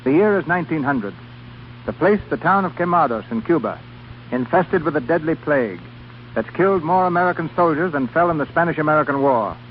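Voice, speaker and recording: A dull old radio broadcast with slight noise. A man with a slightly high voice presents as host, formal and declamatory, in 1930s scripted narration style. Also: lively intonation, brisk.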